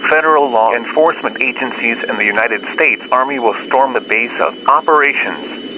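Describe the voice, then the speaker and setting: A man's voice: mid-range voice, moderate pace, muffled recording. Noisy radio-like recording, male announcer, official emergency alert, formal delivery, mid-range voice. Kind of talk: scripted law enforcement warning narration.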